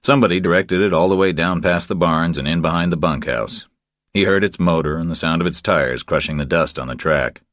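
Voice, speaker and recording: A man narrating a crime thriller audiobook in a measured, terse storytelling manner. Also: deep voice, a bit bright, dry recording, studio quality.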